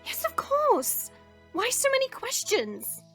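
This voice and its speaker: Dragon princess for audio drama, female actor: high voice, regal and formal, protective, music underneath. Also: wildly swooping pitch, slow, a bit bright, heavily acted. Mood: startled. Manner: formal, stately phrasing. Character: powerful fire-wielding dragon princess, formal, proud, protective.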